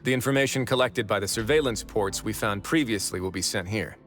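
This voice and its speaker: An actor playing a composed, authoritative duke in a video game, speaking in a measured, commanding way. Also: male voice, fairly low pitch, fairly bright tone, lightly acted. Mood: wry, explanatory. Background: game music.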